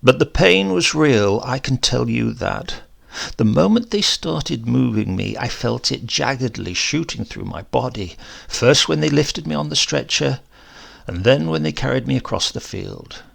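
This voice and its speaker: A man reading a horror story as a shaken, rambling accident survivor, halting and conversational, brooding and prone to outbursts. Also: medium pitch, lively intonation, vocal fry, full character voice. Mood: haunted, confiding. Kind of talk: dramatic first-person horror story reading.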